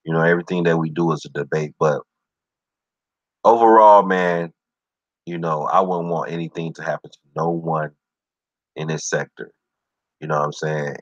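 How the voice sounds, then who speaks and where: Deep voiced guy on his stream, laid back rambling, dry dull mic sound. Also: a bit bright, no room sound. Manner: loose, rambling, full of "you know what I'm saying"; easygoing, encouraging. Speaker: livestream show host. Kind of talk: casual livestream talk.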